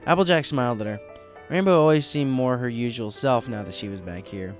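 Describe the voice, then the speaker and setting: A man's voice: medium pitch, a little fast, soft background music. A man reading a story aloud as scripted audiobook narration. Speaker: fan fiction audiobook reader.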